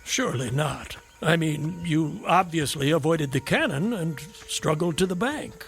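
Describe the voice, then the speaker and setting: An actor in a radio drama playing a courteous, inquisitive and enigmatic gentleman traveller with a formal, probing manner. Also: fairly high pitch, lively intonation, unhurried, gravelly, a little hiss or hum, exaggerated voice. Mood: curious, skeptical.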